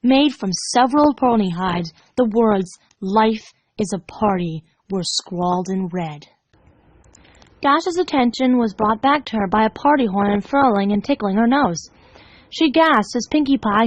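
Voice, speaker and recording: A woman's voice: fairly high pitch, moderate pace, dry recording, lo-fi sound. A woman narrating fan fiction in a dramatic storytelling style.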